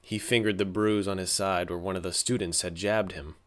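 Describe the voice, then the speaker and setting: Low-voiced young man narrating. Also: fairly even intonation, moderate pace. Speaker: audiobook narrator. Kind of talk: scripted audiobook narration.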